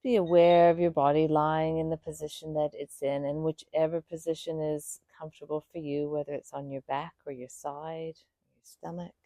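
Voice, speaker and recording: A woman guiding a meditation in a soothing, unhurried way, speaking in short phrases with pauses between them. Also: sits low, level tone, warm tone, dry recording. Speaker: yoga nidra meditation guide. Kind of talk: guided meditation.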